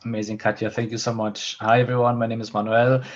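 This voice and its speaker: A man's voice: medium pitch, little pitch movement, quick pace, muffled recording. A man with a German accent, a therapist and coach, introducing himself in an upbeat, chatty way on an online panel. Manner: upbeat, chatty, self-deprecating. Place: home room.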